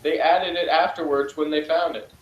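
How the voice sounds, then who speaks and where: A man's voice: on the high side, fairly even intonation, normal speed, lo-fi sound. A man co-hosting a casual review chat, rambling and dismissive with lots of fillers. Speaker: podcast co-host. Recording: echoey room with faint noise.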